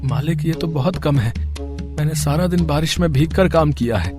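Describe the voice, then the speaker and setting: A man's voice: a little high, moderate pace, music in the background, voice pushed up, lightly acted. A man voicing a poor, humble, devout farm labourer and father in a moral story, meek and weary. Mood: pleading.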